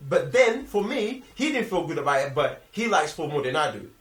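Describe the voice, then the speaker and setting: Young man, London accent, animated delivery, slangy casual chat, roomy echo, a little distant from the mic. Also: fairly high pitch, brisk. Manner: slangy, often seeking agreement. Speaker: film review podcast host. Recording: echoey room, slightly off-mic.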